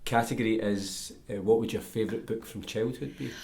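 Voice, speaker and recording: Lower-pitched man interviewing. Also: fairly even intonation, fairly quick, creaky, a little mellow. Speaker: podcast host interviewing. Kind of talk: conversational interviewing.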